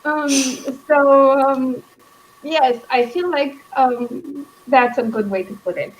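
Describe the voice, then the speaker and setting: A woman with a fairly high voice hosts a casual book chat. She feels her way through her thoughts aloud, hesitating as she goes. Noticeable background noise sits behind her voice. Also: takes it slowly, dull audio. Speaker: book club host.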